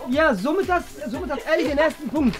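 A young man presenting a challenge video as host in a lively, casual style. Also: very high-pitched, fast talker, over music. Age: young adult. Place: indoor rooms, music behind.

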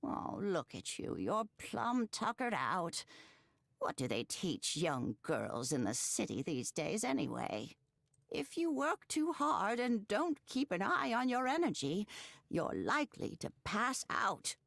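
A woman playing a doting, teasing old farm grandmother in a cozy video game, with a creaky, homespun delivery. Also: mid-range voice, no echo, studio quality, full character voice. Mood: warm fussing. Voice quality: creaky, aged. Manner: slow, homespun, expressive.

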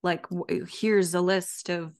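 A woman's voice: fairly low pitch, flat delivery, slow pace. A woman in her thirties hosting a podcast, chatting casually and hesitantly as she searches for words. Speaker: podcast host. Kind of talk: casual chat. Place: room at home, video call.

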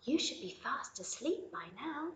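A woman voicing a gentle mother rabbit who speaks in a hushed, soothing way. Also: high voice, big pitch swings, measured pace, a little hiss or hum, voice pushed up. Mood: coaxing. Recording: roomy echo.

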